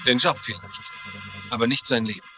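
A man's voice: medium pitch, normal speed, music in the background, lightly acted. An actor playing a bitter, wounded man in a dubbed TV crime drama, speaking earnestly and with strong feeling. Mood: bitter, subdued. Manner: earnest, emotional, pleading. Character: bitter, wounded blind husband accused of murder. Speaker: voice actor in a German crime series dub.

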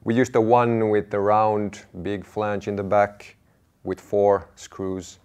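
A man's voice: on the low side, level tone, slow pace, darkish tone, smooth. A man in his thirties presenting a DIY audio build to camera in a matter-of-fact, explanatory way. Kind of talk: product walkthrough to camera.

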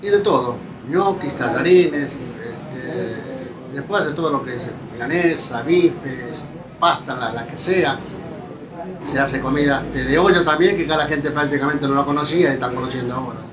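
A man's voice: medium pitch, normal speed. An older man, a café owner talking plainly and unhurriedly in an interview, trailing off into fillers. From Argentina. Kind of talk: casual interview. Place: small café indoors, facing the camera. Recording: echoey café with crowd noise.

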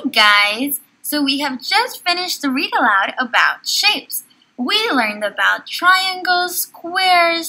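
A woman teaching young children online, reading aloud and asking questions in an encouraging, animated manner. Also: high voice, rough recording. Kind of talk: children's online lesson with story read-aloud.

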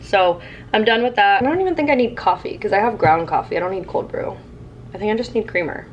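A woman's voice: mid-range voice, animated, fast talker, lo-fi sound, a little hiss or hum. A woman in her twenties chatting casually to her camera at home, upbeat and thinking aloud, her voice still recovering from being sick. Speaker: vlogger. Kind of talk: casual vlog chat to camera. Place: apartment bedroom and kitchen, indoors.

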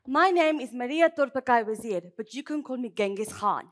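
A woman playing a proud, fierce female squash champion from Pakistan in a dramatic, poetic stage monologue. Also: sits high. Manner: declamatory, dramatic.

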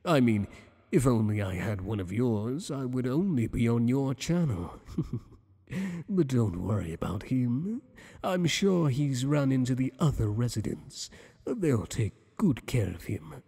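A man's voice: mid-range voice, big pitch swings, creaky, mellow, husky, long reverb tail, heavily acted. A man voicing a teasing, possessive monster boss. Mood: flirtatious. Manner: teasing, flirtatious, slow and intimate. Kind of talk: ASMR roleplay.

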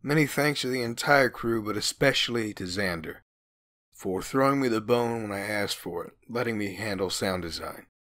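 A man's voice: on the low side, normal speed, fairly bright tone. A man who works as a voice actor and sound designer, giving a scripted thank-you message.

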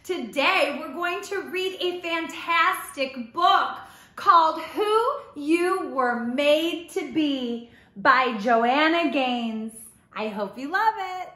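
A woman teaching young children, reading a storybook aloud in an expressive, deliberate way. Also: sits high. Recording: roomy, echoing indoor sound.

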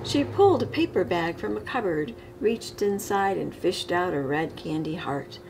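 A middle-aged woman reading a children's picture book aloud with expressive, emphasized storybook delivery. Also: lowish voice, moderate pace. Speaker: storytime reader. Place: living room, indoors. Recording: clear background room noise.

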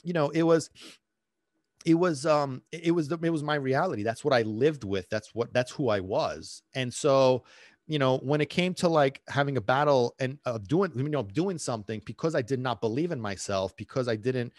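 A man's voice: medium pitch, moderate pace, no room sound, studio quality. A man, telling his own story on his podcast, rambling with many restarts and fillers. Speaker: podcast host, dog trainer. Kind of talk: casual personal storytelling. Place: small home room. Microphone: studio mic on boom, headphones.

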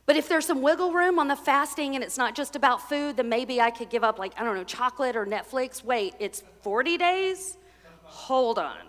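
A woman in her forties preaching at a church pulpit, conversational and playful, then soft and reflective. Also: high voice, lively intonation, normal speed. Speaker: pastor. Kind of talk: sermon. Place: church sanctuary, indoors. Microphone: stand mic at a pulpit. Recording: long church reverb.

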